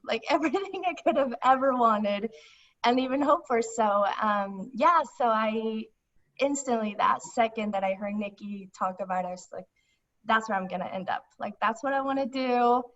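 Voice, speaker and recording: A young woman from Ecuador talks casually about her job, laughing easily and filling pauses with um and like. Her slightly high voice comes through a phone line, sounding muffled and set back from the mic. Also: a bit bright. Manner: bubbly, laughs often, many fillers. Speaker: guest, foundation staff member. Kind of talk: casual interview over a phone call. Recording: distant, muffled phone audio.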